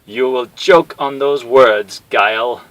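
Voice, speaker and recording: A man voicing a menacing warlord general who draws out his threats slowly and coldly. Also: mid-range voice, fairly bright tone, no echo, voice pushed up, poor audio. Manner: slow, drawn-out threats. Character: menacing male warlord general, cold and commanding.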